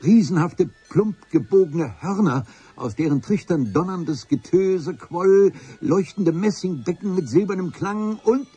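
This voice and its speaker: Ein Schauspieler spielt einen hochtrabenden Abenteurer, der im Hörspiel feierlich und wortreich von seiner Expedition erzählt. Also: male voice, sits high, animated, measured pace, dark tone, slightly rough, faint music behind, heavily acted. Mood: solemn, grand. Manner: pompous, ornate storytelling. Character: grandiloquent male adventurer recounting his expedition. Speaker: narrator. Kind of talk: dramatic first-person radio play narration.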